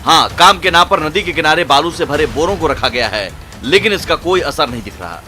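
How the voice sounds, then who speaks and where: Male news voiceover, punchy bright voice, kinda high, faint music. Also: animated, a little fast. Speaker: news voice-over reporter. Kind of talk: scripted news narration.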